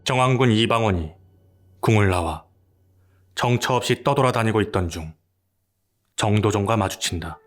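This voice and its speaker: Calm low-voiced male narrator. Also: normal speed, long pauses. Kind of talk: scripted drama narration.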